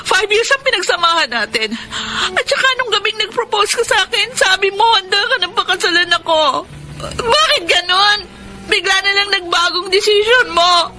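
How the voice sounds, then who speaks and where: An actress with a Filipino accent playing an anxious, wounded young bride in a radio drama. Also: female voice, very high voice, animated, moderate pace, rough recording. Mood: pleading. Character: Diana, anxious jilted pregnant bride of thirty, pleading.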